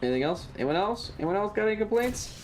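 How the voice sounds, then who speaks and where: A male game streamer with a somewhat high voice for a man. He chats casually as he plays, sarcastic and theatrical, over faint game noise. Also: big pitch swings, fast, poor audio. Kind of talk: casual live game commentary. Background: video game ambience.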